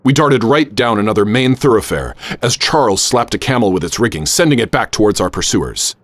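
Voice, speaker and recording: An adventurous professor narrating a radio play. His low voice moves up and down with theatrical flair, and music runs behind it. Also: animated, a bit bright, no echo. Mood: dramatic. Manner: measured, literary, theatrical storytelling. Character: adventurous, wary gentleman professor recounting his exploits. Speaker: narrator and lead character. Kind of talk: radio theater, dramatic first-person narration.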